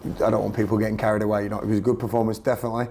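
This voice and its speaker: Brief: man, football manager post-match, gravelly and croaky, low mellow voice, flat delivery. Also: racing, a little hiss or hum. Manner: earnest, repeats himself while searching for words. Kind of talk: post-match press interview.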